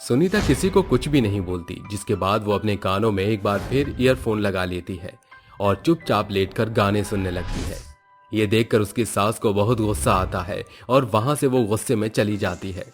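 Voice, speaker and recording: A man's voice: on the low side, normal speed. A man reading a scripted moral story as its narrator. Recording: background music under the voice. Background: music.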